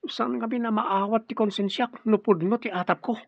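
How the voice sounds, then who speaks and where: A man's voice: sits high, steady tone, moderate pace, creaky, no echo. A man playing a suspicious, commanding young man in a radio drama, insistent and emphatic, with an Ilocano accent. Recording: dull, dry lo-fi studio sound.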